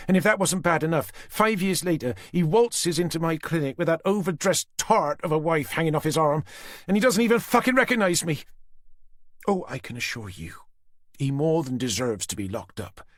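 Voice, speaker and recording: A man's voice: slightly rough, no echo, studio quality. A man voicing a deranged, taunting female kidnapper in an audiobook. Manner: menacing, mocking, shrill when angry.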